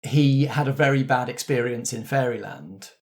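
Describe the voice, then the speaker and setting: A man's voice: medium pitch, normal speed. A man talking as a game master in a casual backstage discussion, explaining things thoughtfully. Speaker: game master of a tabletop roleplay show.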